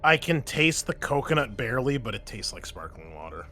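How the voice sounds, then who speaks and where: A man's voice: mid-range voice, very animated, a little fast, pushed and bright, slight background noise. A man acting as game master, narrating and chatting in an informal, sweary way. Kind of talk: casual tabletop game narration and chat.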